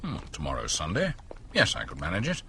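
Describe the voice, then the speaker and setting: An actor playing an agreeable but slightly reluctant doctor helping a detective in a radio drama. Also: male voice, very deep voice, wildly swooping pitch, brisk, vocal fry, bright, forceful tone, slight background noise, heavily acted. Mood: willing, reluctant.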